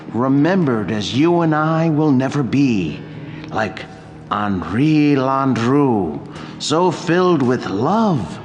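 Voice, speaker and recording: An actor playing a brooding, devoted wax museum curator in a radio drama, theatrical and intense, dwelling on his words. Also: medium pitch.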